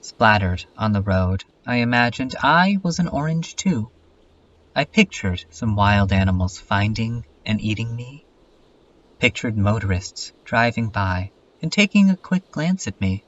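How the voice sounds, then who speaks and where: A man playing a morbid, depressed loner who declaims a bleak, deliberate journal monologue in a fan dub. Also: fairly low pitch, lively intonation, a bit bright, far from the mic, lo-fi sound, a little hiss or hum. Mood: bleak, wistful. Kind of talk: dramatic reading in a horror comedy fan dub. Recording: music under the voice.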